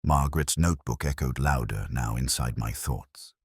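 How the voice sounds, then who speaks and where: Very deep-voiced man narrating a solemn mystery. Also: breathy edge, dry recording, clean studio sound. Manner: solemn, literary, measured delivery. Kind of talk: scripted first-person detective mystery narration.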